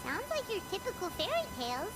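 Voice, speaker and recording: A woman voicing a tiny, childlike fairy companion in a video game, squeaky, curious and blunt, over loud music. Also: wildly swooping pitch, fairly quick, full character voice. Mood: surprised, blunt.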